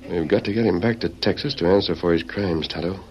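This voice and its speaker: Man acting masked western lawman, extremely low voice, grave and measured, old radio serial, dull recording with crowd murmur. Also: natural voice. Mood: grave, resolute. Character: masked western lawman hero, stern and resolute.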